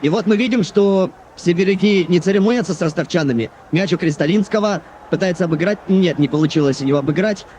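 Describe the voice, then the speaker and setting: A man's voice: high voice, quick pace, hardly any pauses, a little mellow, close to an everyday voice. A man playing a chatty television football commentator calling a match. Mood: engaged. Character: chatty TV football commentator around year 2000. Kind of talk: sports commentary. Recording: noisy old VHS television broadcast.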